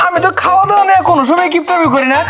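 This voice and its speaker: Casting: actor as meek, stingy Bangladeshi son-in-law, whiny high pitch, animated, loud music underneath. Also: very fast. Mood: pleading. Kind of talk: rural Bengali comedy drama acting.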